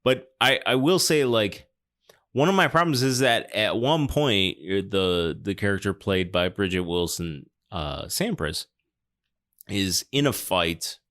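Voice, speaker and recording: Low-voiced man, sardonic film critique. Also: lively intonation, unhurried, a bit bright. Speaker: podcast host. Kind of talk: casual film review chat.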